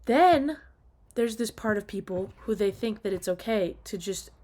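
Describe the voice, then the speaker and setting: A woman's voice: mid-range voice, animated, a little slow, a little mellow. A woman talking casually and off the cuff in an opinionated, emphatic rant, exasperated and casually sweary. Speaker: video diarist.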